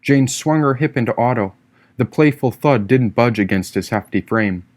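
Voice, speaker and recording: A man reading a first-person story as an audiobook narrator, expressive and theatrical in his delivery. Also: medium pitch, moderate pace. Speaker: author reading own audiobook.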